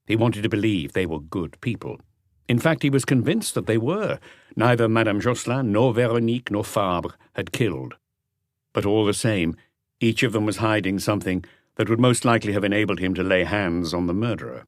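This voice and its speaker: A man's voice: lowish voice, fairly quick, dry recording, clean studio sound. A man reading a mystery novel as an audiobook narrator in a scripted storytelling style.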